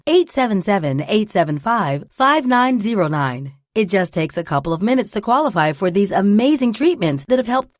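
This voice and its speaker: A woman's voice: medium pitch, no echo, studio quality. A woman reading a scripted health product commercial, upbeat and urgent. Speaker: ad voice-over announcer.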